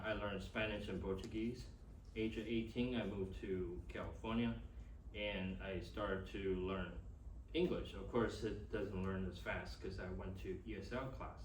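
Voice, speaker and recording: A middle-aged man with a Taiwanese accent and Chinese as his first language, giving an informal, halting talk as a class instructor. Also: on the low side, steady tone. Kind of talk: informal teaching and personal introduction. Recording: echoey room, distant mic, faint noise.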